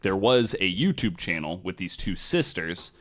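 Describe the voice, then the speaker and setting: A man with a fairly low voice hosts a casual podcast. He talks through stories informally, in loose conversational language with casual swearing. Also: very animated, normal speed, a bit bright. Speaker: podcast host. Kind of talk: casual storytelling podcast talk.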